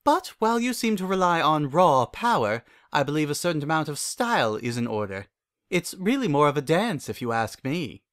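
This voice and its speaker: A man's voice: fairly high pitch, sing-song, moderate pace, voice pushed up. A man voicing a prim, dramatic female unicorn pony with a haughty, theatrical manner in a story reading.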